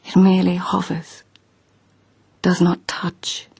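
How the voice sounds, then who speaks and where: A proud court lady in an old palace, haunted by what she has seen. Her voice is husky and a little gravelly, close and dry, and it often sinks to a half-whisper. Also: fairly low pitch, completely flat delivery, slow, a little mellow, no room sound, full character voice, low-quality recording. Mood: dreamy. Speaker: lead character. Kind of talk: BBC radio drama acting.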